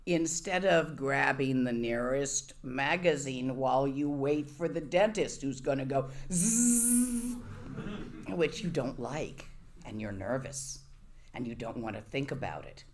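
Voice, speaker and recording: A woman's voice: very deep voice, very animated, a little slow. A woman giving a Buddhist dharma talk to a live audience, conversational and wry, often asking her listeners questions. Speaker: Buddhist teacher.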